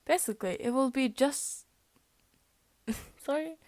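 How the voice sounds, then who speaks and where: A woman's voice: high voice, measured pace, a little mellow, no room sound. A young woman whose first language is Norwegian, reading fan fiction aloud, often tripping over words and laughing at her slips. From Norway. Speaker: fanfic reader.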